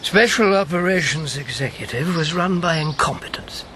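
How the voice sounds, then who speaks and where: A man's voice: on the high side, normal speed, sharp tone, a little hiss or hum. A man acting in a radio drama as a dry, bitter old wartime codemaster, sardonic and clipped. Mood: bitter, insistent. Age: 70s.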